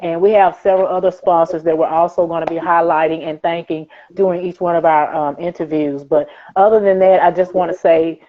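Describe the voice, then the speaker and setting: A woman's voice: on the low side, steady tone, brisk, hardly any pauses. A woman speaking as a playwright and interview guest, giving emphatic thanks and repeating words for stress. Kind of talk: thank-you remarks on a live interview show. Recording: thin phone-like video-call audio.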